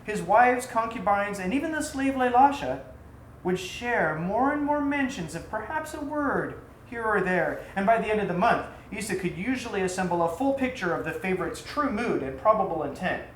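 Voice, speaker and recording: A man reading his own story aloud as a theatrical storyteller, with a dramatic, deliberate delivery. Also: sits high, animated, fairly bright tone. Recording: roomy echo, faint background noise.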